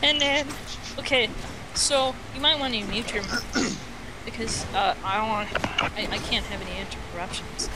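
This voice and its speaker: A playful, halting speaker from the United States telling a rambling tall tale as a guest on an internet voice call. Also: medium pitch. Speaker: guest storyteller on a gaming voice call. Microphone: Skype call. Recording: clearly noisy internet voice call.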